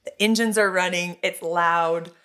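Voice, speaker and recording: A middle-aged woman co-hosting a podcast with an American accent, a loud and animated storyteller in casual chat. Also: lowish voice, unhurried. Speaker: podcast co-host.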